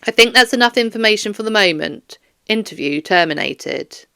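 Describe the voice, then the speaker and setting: A woman voicing a stern police detective in an audiobook, questioning a suspect in a firm, formal, measured way. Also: mid-range voice, lively intonation, gravelly, pushed and bright, clean tone, no echo, studio quality. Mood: firm, official.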